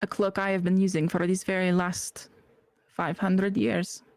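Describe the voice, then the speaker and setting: A woman voicing an ancient, courteous being from a realm of shadow, with a put-on Russian accent and formal, measured speech. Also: mid-range voice, little pitch movement, a little mellow, dry recording, clean studio sound, natural voice. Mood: courtly.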